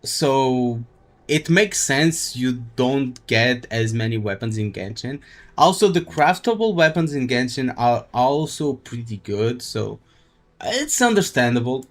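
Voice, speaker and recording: Slow, halting man chatting on stream. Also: mid-range voice, animated. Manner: rambling, halting, thinking aloud. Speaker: live streamer. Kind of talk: casual gaming stream chat.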